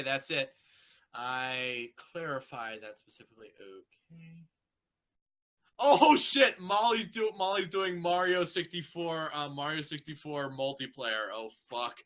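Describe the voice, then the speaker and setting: A man's voice: a little high, a little slow, sharp tone. A man hosting an online group call in casual chat, rambling and stammering, easily distracted.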